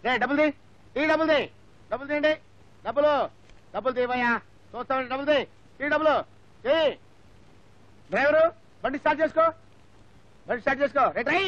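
Shrill, exasperated bus conductor. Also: male voice, quick pace, long pauses. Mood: brisk, bossy. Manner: whining, loud, pleading. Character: exasperated, hard-drinking male bus conductor, whiny and quick-tempered. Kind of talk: broad film comedy acting. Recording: dull old film soundtrack, music.

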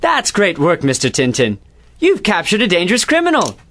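Man playing a high-voiced courteous policeman. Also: wildly swooping pitch, brisk, a bit bright, exaggerated voice. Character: courteous, admiring male police officer. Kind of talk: audio drama acting.

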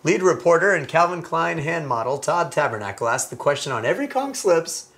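A male co-anchor in his thirties delivers parody headlines with earnest, mock-serious gravity. His pitch is animated and rises and falls a lot. He is recorded on a lapel mic in a small studio. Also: fairly quick. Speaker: comedy news co-anchor. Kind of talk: mock news broadcast.

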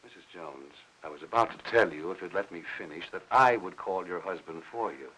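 Older american post office boss in a 50s movie, deep voice, polite but firm, very by the book. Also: male voice, lively intonation, a bit bright, slightly rough, full character voice, poor audio. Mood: stern. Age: 60s. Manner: measured, formal, bureaucratic. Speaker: supporting actor in a film noir. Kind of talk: 1950s Hollywood film acting. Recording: aged 1950s film soundtrack.

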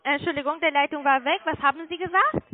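A high-pitched woman with a foreign accent in her German delivers a sales pitch over a narrowband phone line. She speaks haltingly and searches for words as she goes. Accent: non-native German. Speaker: call centre sales agent pitching trading. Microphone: telephone.

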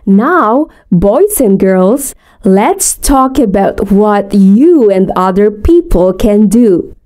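A woman playing a teacher who asks her pupils clear, encouraging questions in a scripted textbook dialogue. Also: mid-range voice, animated, slow, dark tone.

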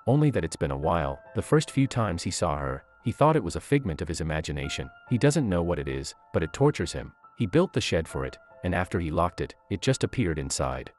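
A man reads a scripted movie recap, telling the plot in a steady storytelling way. His voice sits very low but moves up and down a lot as he goes. Soft music plays faintly underneath. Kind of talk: scripted narration.

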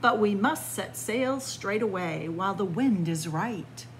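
A practical, obliging storybook barge captain, read by a woman. The delivery is matter-of-fact but with lively intonation. Clear room noise sits in the recording. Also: mid-range voice, a little slow, natural voice, rough recording.

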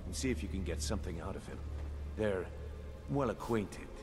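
An actor playing a shrewd, confident thief recruiter in a fantasy video game, sly and assured. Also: male voice, sits low, expressive, moderate pace, background noise.

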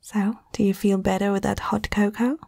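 A woman whispering softly and tenderly in a breathy voice, in a reassuring ASMR comfort roleplay, close to the microphone. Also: medium pitch, level tone, normal speed, dry recording.

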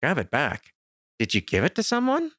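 A woman's voice: low-pitched, wildly swooping pitch, moderate pace, a bit bright, dry recording, clean studio sound, full character voice. A woman playing a cocky, teasing older brother, a farm boy in an audio drama.

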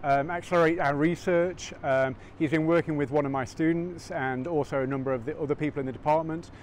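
A man speaking in a steady, explanatory way in an interview, recorded outdoors in a city on a lapel mic. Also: on the high side, a little fast, slight background noise. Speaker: professor. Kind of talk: casual interview.

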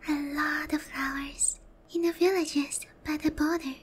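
A gentle young demon queen voiced by a woman speaks in a very high, delicate voice that often sinks into a breathy whisper. She is slow and hesitant, leaving room between phrases. The tone is tender and remorseful, in the style of an intimate ASMR roleplay. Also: steady tone. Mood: wistful.

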